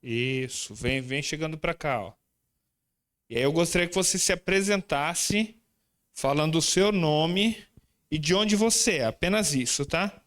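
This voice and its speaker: A man's voice: a little high, a little slow, fairly bright tone. A man preaching in a church, introducing his student group and then moving into the sermon with chatty, informal asides to the congregation. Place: church sanctuary.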